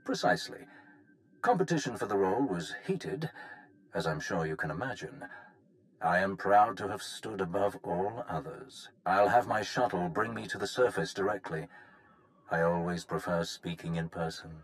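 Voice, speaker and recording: An actor playing a proud, courtly Sith envoy in a video game, formal and self-important. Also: male voice, sits low, expressive, normal speed, rough recording. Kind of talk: video game cutscene acting.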